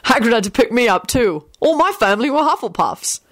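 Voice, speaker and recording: Woman voicing a cheerful, confident teenage boy, high-pitched. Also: big pitch swings, fairly quick, a bit bright, no room sound, clean studio sound. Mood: cheerful, proud. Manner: friendly, casual, confident. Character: cheerful, proud teenage boy team captain. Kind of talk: audiobook character voice.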